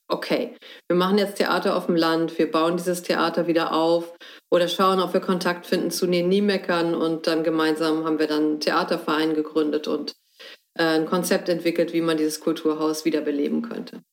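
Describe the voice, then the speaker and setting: A middle-aged woman from Hamburg, a theatre director, calmly telling her story as a guest at her home. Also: lowish voice. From Hamburg, Germany. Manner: calm, thoughtful storytelling. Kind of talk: casual interview.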